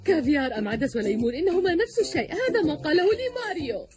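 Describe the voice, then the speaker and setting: A woman's voice: sits high, very animated, rapid-fire. A woman voicing a doting, melodramatic cartoon mother who sighs and exclaims theatrically, in a dubbed cartoon. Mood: flustered, eager. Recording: music behind the voice.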